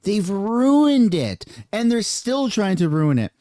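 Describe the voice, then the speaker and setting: A man's voice: sits high, sing-song, slow pace, dark tone, no room sound, clean studio sound. A man ranting in a heated, casual reaction commentary over political clips, using slang.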